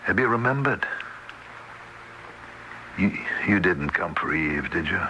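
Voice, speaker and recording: An aging, devoted husband in vintage radio drama. His voice is deep and subdued, with halting, weary phrasing. The old broadcast carries clear noise. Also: expressive. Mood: troubled, hushed.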